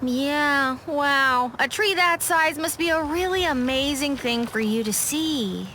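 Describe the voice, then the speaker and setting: A woman playing a perky, curious young heroine in a video game, chirpy and excited. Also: high voice, slow, slight background noise, exaggerated voice. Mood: amazed, curious.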